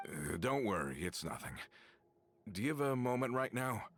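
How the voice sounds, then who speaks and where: An actor playing an aging, humble and weary former convict in a video game, given to nostalgia. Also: male voice, medium pitch, sing-song, normal speed. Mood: hesitant, polite. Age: older. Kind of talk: scripted game voice acting.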